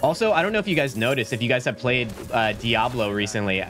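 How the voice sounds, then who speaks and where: Man, 30s, casual streamer chat, lots of "like" and "dude", slightly deeper sick voice, quiet game music behind. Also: fast talker. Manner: laid-back, rambling, says "like" and "dude" a lot. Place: small home room. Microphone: studio mic at desk, webcam framing.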